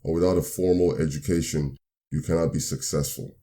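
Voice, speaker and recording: Deep-voiced man hosting a podcast. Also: steady tone, mellow. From Nigeria. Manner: upbeat, earnest and measured, slows to repeat definitions. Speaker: podcast host. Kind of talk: solo motivational podcast talk.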